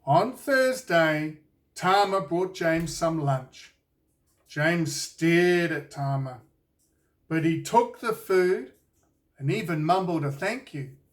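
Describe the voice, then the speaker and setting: A man with a New Zealand accent reading a children's storybook aloud in a deliberate, expressive storytelling way. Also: a little high, distant mic. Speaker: children's author reading his own book. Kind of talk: scripted storybook narration for children.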